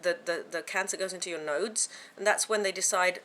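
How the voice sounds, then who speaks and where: A woman vlogging to camera from home, with a croaky voice from a sore throat, chatting in a rambling way with many fillers and restarts. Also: lowish voice, a little fast, a bit bright. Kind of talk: casual chat to camera.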